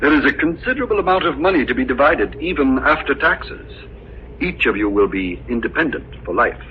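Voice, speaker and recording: A man's voice: medium pitch, big pitch swings, fairly quick, lightly acted. Fussy, formal estate lawyer played by a man, muffled. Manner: hesitant, formal, throat-clearing, precise. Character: fussy, formal, dutiful male estate executor. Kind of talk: 1940s radio drama acting. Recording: muffled, noisy old radio recording.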